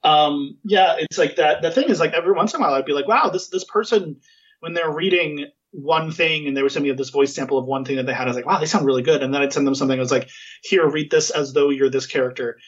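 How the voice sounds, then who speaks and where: A man's voice: on the high side, fast. A man hosting a podcast, chatting casually and rambling with lots of fillers and restarts. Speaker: podcast host. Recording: muffled lo-fi sound.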